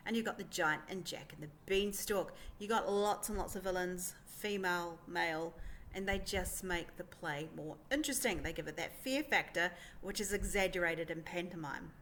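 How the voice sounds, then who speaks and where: A woman's voice: fairly low pitch, fairly bright tone. A woman presenter giving an informal explainer, chatty and emphatic. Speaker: presenter, pantomime performer. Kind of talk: informal explainer talk to camera. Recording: clear background noise.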